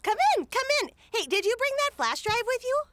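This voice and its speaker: A woman's voice: very high voice, big pitch swings, a little fast, a bit bright, heavily acted. A woman playing a breezy, falsely gracious, scheming town mayor in a comedy audio drama, with a theatrical, officious manner. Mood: gushing, brisk.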